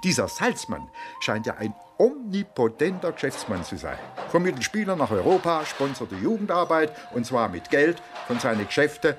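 An actor playing a wry former footballer turned investigator in a radio drama. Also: male voice, fairly high pitch, very animated, moderate pace, music in the background.

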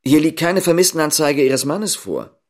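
A man's voice: on the high side, very animated, normal speed. An actor playing a dutiful, guarded East German policeman in a radio drama, speaking tersely and with restraint.

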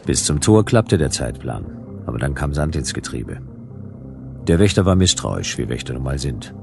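A noir detective telling his own story in a radio drama. The voice is extremely low, laconic and deadpan, with music running clearly underneath. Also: normal speed, full character voice. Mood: dry, deadpan. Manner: dry, deadpan. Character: laconic male hard-boiled detective narrating his case. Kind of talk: noir first-person radio play narration.

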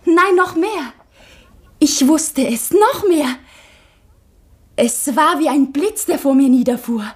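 An actress playing an anxious, earnest young convent schoolgirl, confiding intensely in her mother in a 1960s radio drama. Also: high-pitched. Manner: intense, confiding, halting with emotion.

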